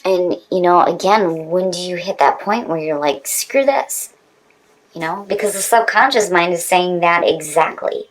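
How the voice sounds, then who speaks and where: A woman's voice: on the low side, a little slow, poor audio. A woman speaking as a tarot reader in a casual, conversational style, with an informal, emphatic manner.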